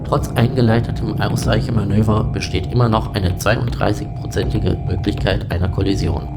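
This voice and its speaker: Loud music runs under a low male voice, a man acting as the computer of a space station. It speaks formally and precisely, in a measured, emotionless way, as in a science fiction radio play. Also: steady tone, lightly acted. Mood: calm, matter-of-fact. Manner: precise, measured, unemotional reporting. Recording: loud music bed behind voice.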